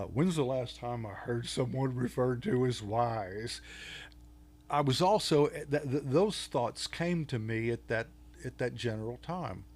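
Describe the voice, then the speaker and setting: Older Texan man, unhurried, halting guest chat full of ums and restarts. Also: mid-range voice. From Texas. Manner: halting, many fillers and restarts. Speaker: podcast guest, author. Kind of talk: casual interview talk.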